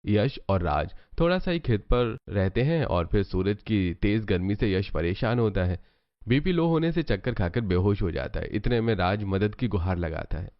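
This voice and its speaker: A man's voice: lowish voice, lively intonation, moderate pace, no echo. A man reading scripted narration for a story as its narrator. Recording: dull sound under loud music.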